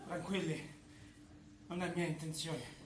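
A man's voice: fairly high pitch, steady tone, unhurried, close to an everyday voice. An actor playing a bitter, megalomaniac man in a grandiose, declamatory stage monologue. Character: megalomaniac, bitter man who claims to know every secret. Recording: echoey stage recording with background noise.

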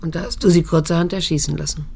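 Gravelly-voiced, restrained middle-aged daughter. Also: female voice, on the low side, fairly even intonation, warm tone. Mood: probing, reproachful. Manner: measured, sober, pointed. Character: probing, reproachful daughter in her late fifties, wounded yet restrained. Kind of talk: German radio drama acting and narration.

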